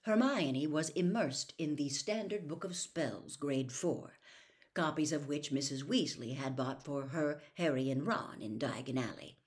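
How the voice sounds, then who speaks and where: Low-pitched woman reading a story. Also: measured pace. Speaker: audiobook reader. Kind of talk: storybook narration.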